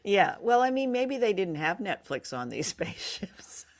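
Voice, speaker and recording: A woman's voice: mid-range voice, big pitch swings, moderate pace. A woman co-hosting a podcast, chatting casually through a review and often searching for her words. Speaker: podcast co-host.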